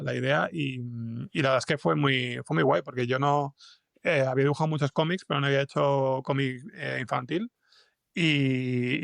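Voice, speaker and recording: Creaky-voiced man from a Spanish podcast interview. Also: mid-range voice, a bit bright, dry recording, studio quality. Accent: Spain Spanish. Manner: hesitant, rambling, stretching fillers and repeating words. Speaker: guest, fiction podcast scriptwriter and comics artist. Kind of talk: casual interview conversation.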